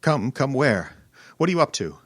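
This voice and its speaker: A man's voice: mid-range voice, sing-song, moderate pace, dry recording, clean studio sound. An actor playing an irascible, domineering rich older man, imperious and sputtering, who turns cowardly under threat, in old-time radio horror style. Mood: alarmed, demanding. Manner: blustering, imperious, sputtering and stammering.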